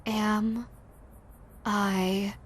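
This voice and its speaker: A woman playing a female yokai ghost in an audio roleplay, theatrical and deliberate, menacing yet easily flustered. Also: mid-range voice, little pitch movement, faint music behind. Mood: eerily polite. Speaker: voice actress. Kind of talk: audio roleplay, character speaking to listener.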